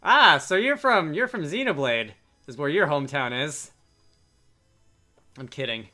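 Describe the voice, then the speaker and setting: A man's voice: on the high side, animated, measured pace, pushed and bright. A man giving casual game commentary and reading dialogue aloud, with dry, joking asides. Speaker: let's play video creator. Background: game music.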